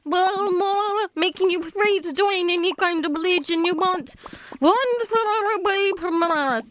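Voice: extremely high pitch, measured pace, fairly bright tone, dry recording. A performer voicing a prim, blunt pet goldfish in a comic radio sketch, awkwardly polite in delivery. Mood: blunt, exasperated. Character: Floaty, squeaky dead pet goldfish, prim blunt leader.

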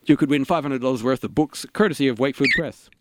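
A man hosting a comedy radio show, jokey and a little halting. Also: medium pitch, noisy background. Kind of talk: comedic community radio banter.

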